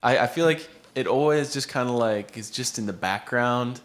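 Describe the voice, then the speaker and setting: A man's voice: medium pitch, normal speed. A man interviewing a band, chatting in an easygoing way and affirming what his guests say. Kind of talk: casual interview chat.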